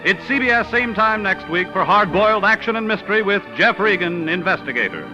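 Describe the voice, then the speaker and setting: Over music on a muffled old broadcast recording, a male announcer speaks in a high-pitched voice. His delivery is formal, measured and polished, in the declamatory manner of 1940s network radio. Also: expressive.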